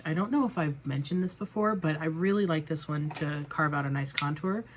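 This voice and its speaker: Woman beauty vlogger, low voice, slightly raspy from a cold, chatty step-by-step narration, faint hiss behind. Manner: chatty, self-deprecating, narrating each step. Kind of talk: casual makeup tutorial chat.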